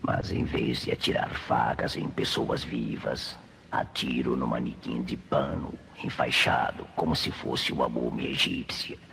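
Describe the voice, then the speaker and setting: An actor with a Brazilian accent playing a menacing, contemptuous knife-throwing crime boss in an old radio serial. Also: male voice, fairly even intonation, measured pace, fairly bright tone, heavily acted. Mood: cold scheming. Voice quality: very low gravelly rasp, often breathy. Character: sinister scheming male villain, a knife thrower gang boss. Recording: reverberant old radio recording, faint noise.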